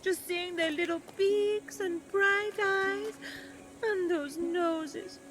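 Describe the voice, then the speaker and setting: An actress playing a gushing, fussy goddess trapped as a talking tree in a video game, theatrical with dramatic pauses. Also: female voice, very high-pitched, steady tone, a bit bright, soft background music, exaggerated voice, rough recording. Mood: dramatic, doting. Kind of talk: video game cutscene acting.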